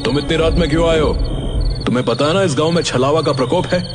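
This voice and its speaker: A man's voice: a little high, fast, over music, close to an everyday voice. A man acting an anxious villager in a cartoon ghost story, in a melodramatic manner. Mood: stern warning.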